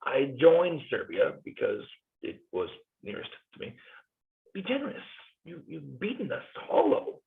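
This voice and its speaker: A man's voice: wildly swooping pitch, measured pace, vocal fry, exaggerated voice. A man reads a weary Swiss mercenary, nervous and wry, whose voice swings wildly up and down. The sound is thin and phone-like, as over a video call. He pleads and jokes in the same breath. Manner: nervous, wry and pleading, with jumpy pitch leaps. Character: weary, jittery Swiss mercenary soldier, middle-aged man. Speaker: cast reader in a play reading. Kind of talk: cold-read stage play reading.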